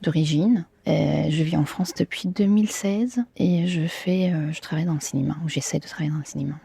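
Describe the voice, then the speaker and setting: Mellow young Syrian woman, low-pitched. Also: a little fast, gravelly, slightly rough, no room sound. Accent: Syrian Arabic. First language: Arabic. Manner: hesitant, searching for words. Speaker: interviewee, theatre performer and film worker. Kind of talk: casual interview answers.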